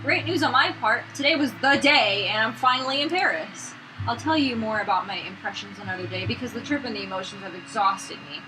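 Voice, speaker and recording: A woman's voice: on the high side, fairly quick, noisy background, natural voice. A woman voicing an earnest, eager young man, a painter, in a visual novel. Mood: tender.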